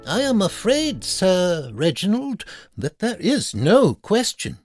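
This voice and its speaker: A man's voice: high-pitched, wildly swooping pitch, takes it slowly, no room sound, faint music behind, heavily acted. A man voicing a grave, fussy Victorian physician in a comic audio story, speaking formally and theatrically.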